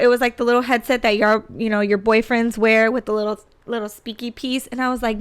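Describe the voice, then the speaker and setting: A woman's voice: fairly high pitch, fairly bright tone, clear, resonant tone. A young adult woman chatting casually about her test experience, often saying "girl" and "like". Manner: chatty, says "girl" and "like" a lot. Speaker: teacher candidate sharing test experience.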